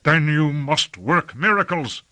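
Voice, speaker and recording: A man's voice: fairly high pitch, lively intonation, a little slow, voice pushed up. A man voicing an imperious, hot-tempered lord in an audiobook, harsh and urgent as he gives commands. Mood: angry, demanding. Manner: urgent, peremptory, shouting commands.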